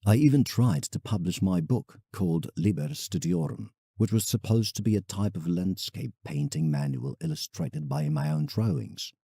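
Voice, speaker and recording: An actor playing an ambitious, self-mocking painter, narrating an audio drama in a dramatic, confessional way. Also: male voice, low-pitched, animated, normal speed, vocal fry, hardly any pauses, dark tone, husky, no room sound, studio quality, full character voice. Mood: proud, boastful. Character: proud, ambitious, self-mocking British landscape painter writing letters.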